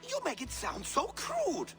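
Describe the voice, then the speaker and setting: An actor playing a lofty, self-important Norse skald with a pompous, flowery way of speaking, in a video game. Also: male voice, very high voice, wildly swooping pitch, a little slow, a bit bright, soft background music, full character voice. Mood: self-justifying. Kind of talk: dramatic video game acting.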